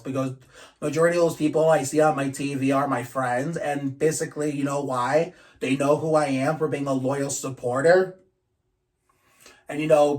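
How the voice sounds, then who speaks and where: A man's voice: fairly high pitch, a little mellow, distant mic. A man ranting casually to his channel, blunt and profane, rambling with frequent restarts. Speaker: YouTuber. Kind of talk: casual vlog rant.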